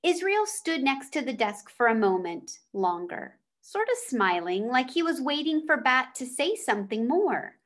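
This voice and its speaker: A woman's voice: high-pitched, very animated, moderate pace, hardly any pauses, fairly bright tone. A woman reading a children's novel aloud as a storyteller. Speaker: read-aloud reader.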